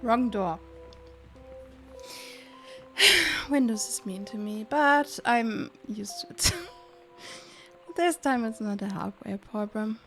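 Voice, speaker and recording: Female game streamer: bright, slightly high voice, casual think-aloud commentary in sparse asides. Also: expressive, slow, long pauses, soft background music.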